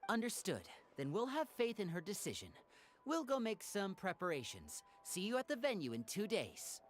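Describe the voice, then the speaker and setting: A woman voicing a calm, polite young stage performer in a video game, measured and courteous. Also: medium pitch, a little hiss or hum.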